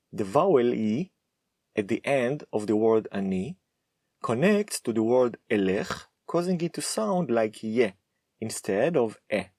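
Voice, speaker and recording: A man teaches a Hebrew lesson to camera, measured and emphatic. His voice sits on the low side but moves animatedly up and down. The sound is dry and close. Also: a little mellow, dry recording.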